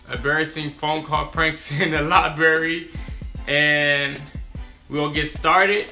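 Reaction host: man, 20s, bright forceful voice, unhurried, casual, recorded at home. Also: on the high side. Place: small room at home, indoors.

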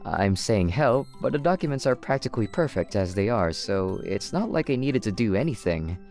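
A man's voice: on the low side, very animated, moderate pace, a little mellow, soft background music. A man voicing a kind, long-suffering office worker in his twenties, hesitant and stammering, in an anime-style dub. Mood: weary, gentle.

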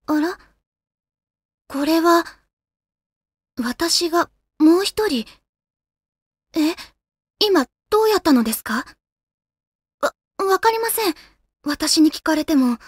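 A woman playing a gentle, solemn female guardian spirit in a Japanese video game, speaking politely and formally with composure. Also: sits high, no echo, clean studio sound. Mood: puzzled.

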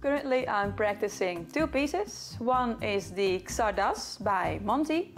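A woman's voice: a little high, expressive, slow, faint music behind. A young Dutch woman with a Dutch accent, chatting casually as she answers questions to camera. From Netherlands. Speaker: violinist and video host. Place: small living room in front of bookcases.

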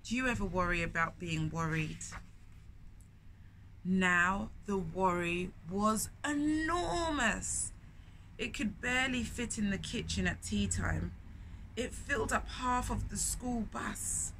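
A woman in her thirties reading a picture book aloud to young children, with expressive drawn-out emphasis and questions put to the listener. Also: mid-range voice, a little hiss or hum, poor audio. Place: indoors in a bookshop, in front of shelves.

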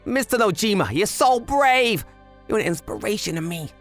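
A man plays a young cartoon gangster underling, chatty and easily frightened. His voice leaps about excitedly in exclamations, with music underneath. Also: sits high, normal speed. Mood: admiring, chatty. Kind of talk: animated manga dub dialogue.

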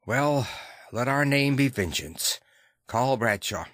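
A man's voice: medium pitch, expressive, slow, a bit bright. A man voicing a grave, commanding Victorian lawyer in an audiobook, formal and decisive. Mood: grim resolve.

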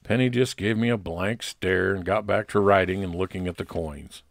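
A man's voice: low-pitched, moderate pace, gravelly, no room sound. A man narrating a scripted first-person private investigator story in old-time radio detective style.

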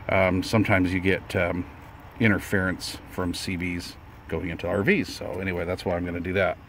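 A man's voice: sits low, fairly bright tone, a little hiss or hum. A man giving a casual, plain-spoken hobbyist walkthrough, explaining a setup in informal words as he records on a handheld camera outdoors. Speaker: amateur radio hobbyist presenting his setup. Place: outdoors beside a camper trailer, briefly inside it. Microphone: handheld camera or phone, held by the speaker.

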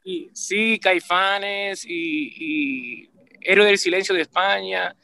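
A man's voice: high-pitched, slow pace, creaky. A man in his forties with a Caribbean Spanish accent, a band leader chatting animatedly in an interview over a video call. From Puerto Rico and Dominican Republic. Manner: animated, repeats "¿me entiendes?", restarts often. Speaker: band leader, musician guest. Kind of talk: casual interview chat. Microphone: video call webcam.

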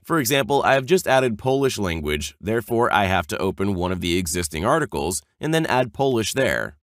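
A man reading a scripted software tutorial, explaining clearly step by step as an instructional narrator. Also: lowish voice, very animated, brisk, no room sound, studio quality. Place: close, dry voice-over recording.

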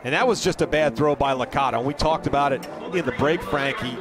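A man calling a college football game play by play, brisk and rising with excitement on big plays. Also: medium pitch. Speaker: play-by-play announcer. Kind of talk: live football play-by-play commentary. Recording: broadcast with loud crowd and noise behind.

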